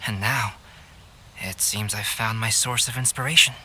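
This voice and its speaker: A man voicing a calm, analytical, polite young artist in a video game, speaking in a measured, formal, reserved way. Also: fairly low pitch, slight background noise. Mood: composed. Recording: music behind the voice.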